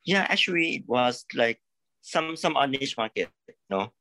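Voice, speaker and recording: A man from Thailand, a creative consultant, speaking in a halting way, repeating words and saying "you know" often. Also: mid-range voice, expressive, pushed and bright, no room sound. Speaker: coaching client, creative consultant. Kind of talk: live coaching session.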